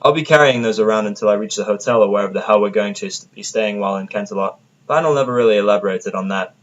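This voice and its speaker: A man's voice: lowish voice, quick pace, lo-fi sound. A man narrating scripted first-person fiction as the storyteller of an audio drama.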